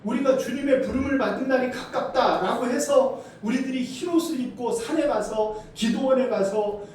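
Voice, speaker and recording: A middle-aged Korean man, a senior pastor, preaching a sermon, asking the congregation questions and swinging between loud emphasis and quiet asides. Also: extremely high pitch. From South Korea. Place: church sanctuary. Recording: echoey hall from far off, faint noise.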